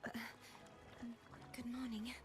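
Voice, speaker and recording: A woman voicing a soft, earnest young woman in a fantasy video game, under game music. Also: a little high, level tone, a little slow, close to an everyday voice. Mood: hesitant. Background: loud game music.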